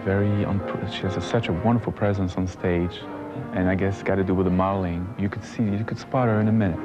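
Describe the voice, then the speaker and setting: Low-voiced man talking haltingly. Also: fairly even intonation. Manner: halting, searching for words. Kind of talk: unscripted interview talk. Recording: dull sound with music behind.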